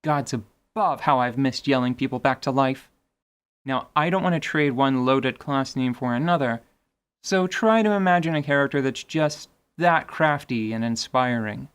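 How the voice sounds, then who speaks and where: A man's voice: mid-range voice, moderate pace, smooth. A man hosting a podcast, giving semi-scripted tabletop gaming commentary in an articulate, wordy way with wry, playful asides. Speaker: podcast host.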